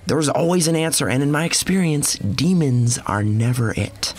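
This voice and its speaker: A man's voice: fairly high pitch, lively intonation, normal speed, faint music behind. A man playing a skeptical, cocky journalist who narrates a horror story in a sardonic, dramatic storytelling manner. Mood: dry, dismissive. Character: skeptical male journalist, mocking, cocky and probing. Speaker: narrator and lead character of an audio horror story. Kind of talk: scripted first-person horror narration with acted dialogue.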